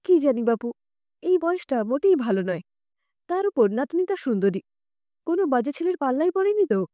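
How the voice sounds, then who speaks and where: Woman narrator as elderly grandmother, audio story; fretful, old-fashioned, soft-toned and animated. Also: high-pitched, a little fast, no echo, clean studio sound. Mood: worried, suspicious. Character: anxious, old-fashioned grandmother fretting over her granddaughter.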